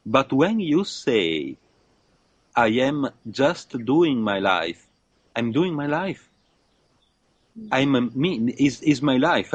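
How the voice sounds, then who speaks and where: A man speaking as a guest in a reflective conversation, halting often with fillers and restarts. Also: medium pitch, breathy edge, no room sound. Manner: hesitant, many fillers and restarts. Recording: dull lo-fi dry sound.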